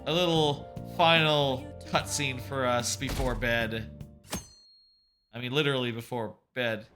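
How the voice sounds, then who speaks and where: A man streams a video game with a medium-pitched voice and loose, chatty commentary. He swears casually and repeats phrases, with game music clearly audible behind him. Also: fairly even intonation, unhurried.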